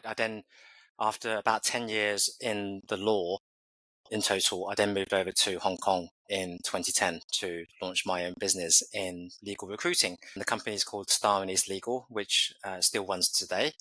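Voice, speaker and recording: A man's voice: on the low side, bright, forceful tone, no echo, low-quality recording. A man in his forties from England, answering interview questions thoughtfully with many fillers and restarts. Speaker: podcast guest.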